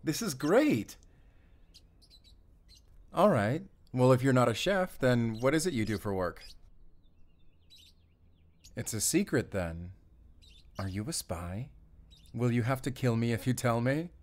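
Audio drama role, male actor: excitable young male ranger, American accent, chatty and friendly. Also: mid-range voice, takes it slowly, pauses often. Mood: friendly, proud, then shy, playful. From Arizona, US. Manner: talkative, eager, rambling, with small chuckles. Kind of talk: roleplay audio drama addressed to the listener.

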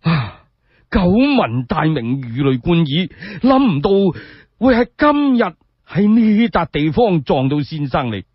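A man voicing a humble, excitable old blind storyteller in a Cantonese radio tale, effusive and deferential. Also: on the high side, slow, breathy edge, no room sound, muffled recording, heavily acted. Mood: humbly flattered.

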